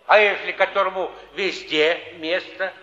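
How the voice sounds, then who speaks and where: An actor playing a sly, gentle old wandering pilgrim on stage, teasing in a folksy way. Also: male voice, high voice, steady tone, measured pace. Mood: wry, evasive. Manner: folksy, teasing, speaks in sayings. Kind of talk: theatrical stage acting. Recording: dull archival stage recording, echoing hall.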